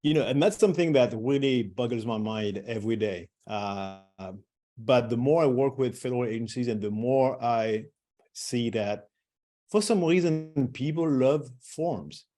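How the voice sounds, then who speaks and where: Middle-aged male presenter: mellow, relaxed tone, mid pitch, talks loosely with fillers and restarts. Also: unhurried. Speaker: webinar presenter. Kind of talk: webinar presentation.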